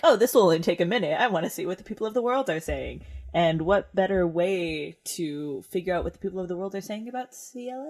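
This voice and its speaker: A woman co-hosting a podcast, talking in an enthusiastic, bubbly way. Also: fairly low pitch. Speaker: podcast co-host. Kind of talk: casual podcast chat.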